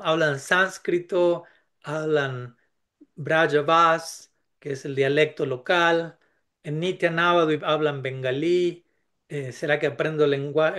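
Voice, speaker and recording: A man's voice: on the high side, fairly bright tone. Thoughtful man giving a spiritual talk. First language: Spanish. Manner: thoughtful, many restarts and tag questions. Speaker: spiritual speaker.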